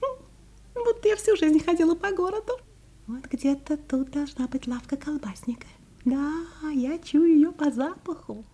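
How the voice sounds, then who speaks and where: A woman's voice: high-pitched, animated, normal speed, dark tone. A woman playing a sly, self-assured fox in an audio fairy tale, musing to herself in a scheming, self-satisfied way. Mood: smug, scheming. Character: sly, self-assured female fox disguised in old women's clothes.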